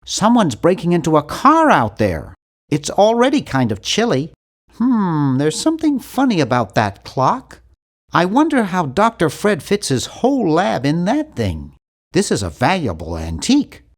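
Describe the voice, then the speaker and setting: A man's voice: a little high, wildly swooping pitch, measured pace, exaggerated voice. A man voicing an earnest, timid young male nerd in an adventure video game, with a precise, fussy delivery. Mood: curious, matter-of-fact. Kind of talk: animated adventure game voice acting.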